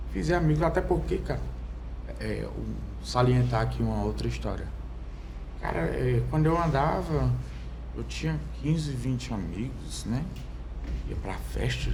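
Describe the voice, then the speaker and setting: A young Brazilian man speaking as a podcast guest, telling his own story in a halting way, with many fillers and restarts. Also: medium pitch, vocal fry, noisy background. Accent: Brazilian Portuguese. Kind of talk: personal testimony in conversation. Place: podcast studio.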